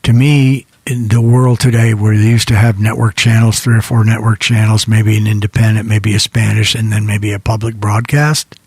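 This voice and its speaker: A man's voice: on the low side, flat delivery, normal speed, hardly any pauses, darkish tone, husky, dry recording. An older American man hosting an internet radio show, talking in a rambling, reflective, conversational way between songs. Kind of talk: casual monologue between songs.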